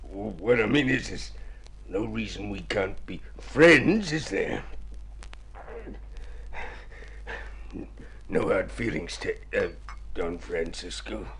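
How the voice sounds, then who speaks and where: An actor playing a sly, bullying swindler in a radio drama, with an oily, sneering manner. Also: male voice, lowish voice, lively intonation, slow pace, creaky, background noise, exaggerated voice. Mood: oily, wary. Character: sly, bullying male swindler who turns craven.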